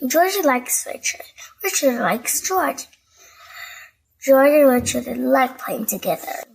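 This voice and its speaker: A woman reading a bedtime storybook aloud to young children in an expressive, scripted narration style. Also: high-pitched, slow, creaky, a little hiss or hum, poor audio.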